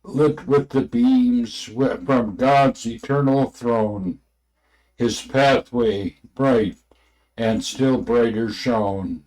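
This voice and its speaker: A man with a mid-range voice reads aloud from a religious book at an extremely slow pace. His delivery is unpolished and halting, broken into short phrases, with stumbles over the wording. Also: mid-range voice, a little mellow, poor audio. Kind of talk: unrehearsed reading aloud with asides.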